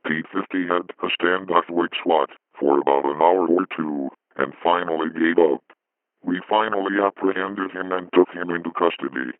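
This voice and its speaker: A man's voice: deep voice, normal speed, no echo. A man playing a police chief giving a matter-of-fact report over a phone line. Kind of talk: scripted fictional news report. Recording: narrow tinny phone line.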